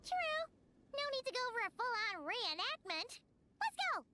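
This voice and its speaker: A woman voicing a tiny, excitable, cheeky fairy companion with a squeaky childlike voice in an anime game. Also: normal speed, background noise. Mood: excited, chatty. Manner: refers to self in third person, exclamatory.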